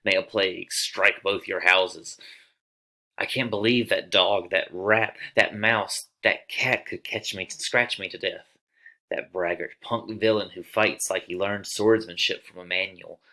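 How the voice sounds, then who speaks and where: A man acts out a dying swordsman in a play reading. His voice is low and sharp-edged. He is wry and bitter, declaiming his curses. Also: steady tone, measured pace, exaggerated voice. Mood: bitter cursing.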